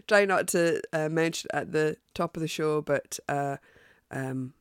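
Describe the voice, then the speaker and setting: A woman hosting a podcast, chatty and hesitant with lots of ums and restarts, sounding croaky and congested from a cold. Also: sits low, measured pace, no echo, studio quality. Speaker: podcast host. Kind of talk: casual podcast sign-off.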